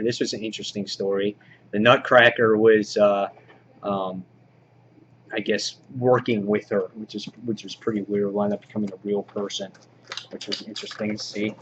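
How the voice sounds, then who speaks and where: Low-voiced man, dull noisy recording. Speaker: vlog host showing a comic book collection. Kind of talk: casual show-and-tell commentary. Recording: dull lo-fi audio, clear background noise.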